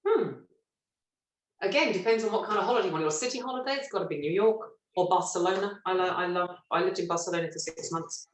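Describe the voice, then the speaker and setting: A middle-aged woman from Britain, a stage actress telling lively, self-mocking stories in an interview and laughing often. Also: lowish voice, fast. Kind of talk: relaxed anecdotal interview chat. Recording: roomy echo.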